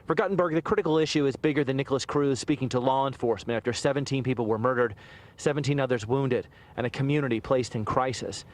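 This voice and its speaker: A man with an American accent reading a scripted news report in a measured, serious broadcast delivery. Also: mid-range voice.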